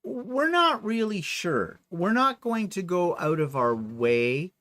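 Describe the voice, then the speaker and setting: A man's voice: high-pitched, big pitch swings, slow pace, pushed and bright. A man chatting casually as a live show co-host, with a wry, joking way of chiming in. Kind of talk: casual chat.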